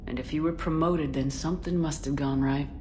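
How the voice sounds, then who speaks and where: An actress playing a steady, frank military commander in a video game, direct and composed with dry teasing. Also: female voice, deep voice, fairly quick, background noise, close to an everyday voice. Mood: firm, supportive. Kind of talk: conversational video game acting.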